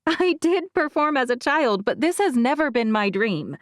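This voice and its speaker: Audiobook heroine, played by a woman: guarded young actress, measured and confiding, slightly high pitch. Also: sing-song, no room sound, studio quality. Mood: sheepish. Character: guarded, self-effacing young actress recounting her childhood. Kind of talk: audiobook character dialogue.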